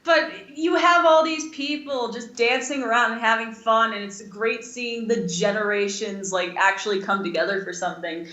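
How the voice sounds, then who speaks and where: In a roomy, echoing space, a young woman with a bright, forward voice talks casually as a podcast co-host. She rambles enthusiastically, in the loose style of a chat among friends. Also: on the high side, fairly even intonation, normal speed, hardly any pauses, highs cut off.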